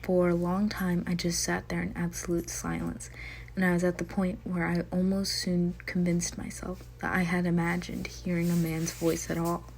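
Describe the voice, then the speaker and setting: Low, flat-voiced woman with a cough. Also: moderate pace, creaky. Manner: casual, chatty reading with fillers. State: getting over a cough. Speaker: storyteller. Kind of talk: reading a horror story aloud. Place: at home.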